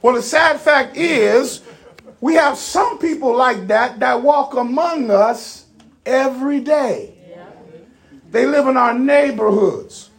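Animated high-pitched preacher man, gravelly edge. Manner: rhetorical, emphatic preaching with repeated phrases and direct appeals to listeners.

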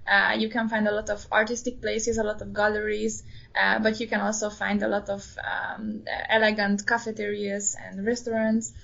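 A woman in her twenties, a guest in a casual interview, speaking hesitant non-native English with many pauses and fillers. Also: mid-range voice, level tone, gravelly, fairly bright tone. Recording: dull lo-fi recording.

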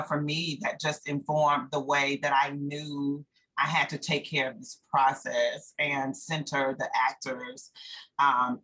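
An American woman from North Carolina, a theatre director, answering interview questions reflectively and haltingly as she searches for words. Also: a bass voice, a bit bright, rough recording.

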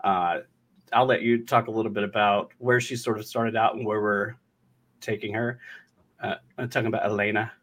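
A man's voice: on the low side, fairly even intonation, normal speed, fairly bright tone. A man chatting casually as an interview guest, a writer and director who explains emphatically and repeats himself. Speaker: podcast guest, audio drama writer and director.

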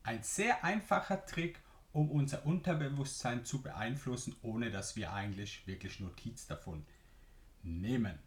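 A young man from Switzerland with a Swiss German accent, hosting a solo business podcast and explaining ideas with lively emphasis and occasional fillers. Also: mid-range voice, unhurried. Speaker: podcast host.

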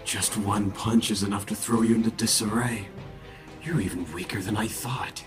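A man's voice: fairly low pitch, fairly even intonation, moderate pace, over loud music, close to an everyday voice. A man playing a menacing rival vampire in an audio roleplay, smug, mocking and theatrical as he taunts his prey. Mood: taunting.

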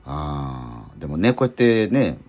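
A man, middle-aged, hosting a radio show in a casual, reflective way, thinking aloud in halting phrases. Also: a bass voice, very animated, a little mellow, soft background music.

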